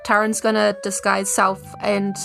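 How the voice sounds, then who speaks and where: A woman's voice: medium pitch, monotone, a little slow, creaky, a bit bright, faint music behind. A woman with a New Zealand accent playing a tabletop roleplaying game, improvising casually.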